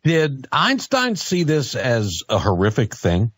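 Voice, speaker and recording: A man's voice: medium pitch, wildly swooping pitch, slow pace, fairly bright tone, slightly rough, dry recording. A man hosting a radio talk show in a casual, conversational style. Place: radio studio.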